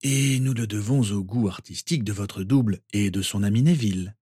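A man reading aloud and voicing a kindly, mischievous old headmaster wizard, gentle and husky. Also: fairly low pitch, moderate pace, dry recording, studio quality.

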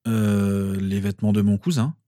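A man's voice: lowish voice, lively intonation, moderate pace, a little mellow, no room sound. An earnest teenage wizard from a storybook, played by a man, a little awkward and hesitant as he explains. The recording is dry. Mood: embarrassed. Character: earnest, awkward seventeen-year-old wizard boy.

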